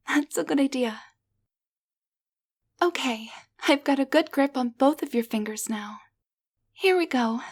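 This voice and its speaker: Woman acting a high-voiced, gentle, tiny enchanted doll. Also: full character voice. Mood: effortful, excited. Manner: soft, breathy, hesitant, tender delivery. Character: gentle, grateful young waitress cursed into a tiny living doll. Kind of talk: intimate roleplay audio drama addressed to the listener.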